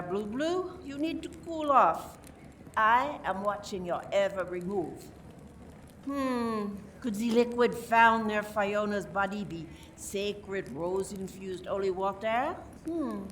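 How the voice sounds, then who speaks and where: A little far from the mic, a woman acts a suspicious French police inspector in a radio mystery. She speaks slowly in a high voice with theatrical deliberation. Her manner is polite but condescending. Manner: deliberate, theatrical, repeats words for emphasis. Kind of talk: amateur radio play acting. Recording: distant mic, faint noise, room ambience.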